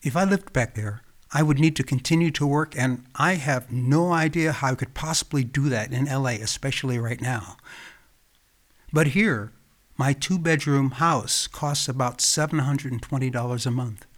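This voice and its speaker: An American man from Los Angeles, hosting his own podcast with calm, reflective scripted narration. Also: mid-range voice, moderate pace. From Los Angeles, California. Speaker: podcast host.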